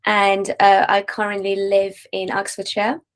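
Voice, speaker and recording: A young woman, a freelance artist, answering interview questions in a relaxed way full of likes and ums. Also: medium pitch, measured pace. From Iran.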